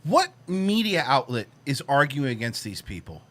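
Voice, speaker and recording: Wry man with a mid-pitched voice. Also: very animated, normal speed, a bit bright. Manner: wry, sardonic asides. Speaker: online show co-host. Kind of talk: casual joking commentary.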